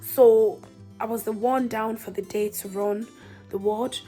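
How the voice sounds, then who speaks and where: A woman with a Nigerian accent speaks straight to her viewers in a somewhat high voice. She is emphatic and frank, making her points like a personal vlog and punctuating them with rhetorical questions. Faint music plays behind her. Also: fairly even intonation, measured pace, dark tone. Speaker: senior nurse and vlogger. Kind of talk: candid vlog monologue to viewers.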